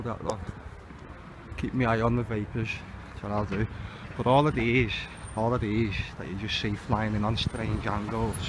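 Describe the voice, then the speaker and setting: A man giving casual, rambling outdoor commentary, often repeating his phrases. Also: fairly low pitch. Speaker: vlogger. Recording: noisy outdoor recording with traffic ambience.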